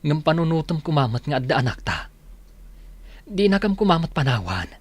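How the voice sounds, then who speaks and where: An actor playing an aggrieved husband who pleads with his wife, with a husky voice, in a radio drama. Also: on the high side, big pitch swings, brisk.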